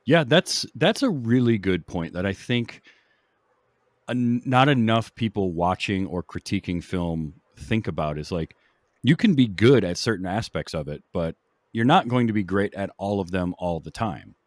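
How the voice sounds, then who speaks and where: Low-voiced man, dry close sound, film chat. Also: expressive, normal speed, no room sound. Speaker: podcast panelist discussing films. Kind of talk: casual film discussion.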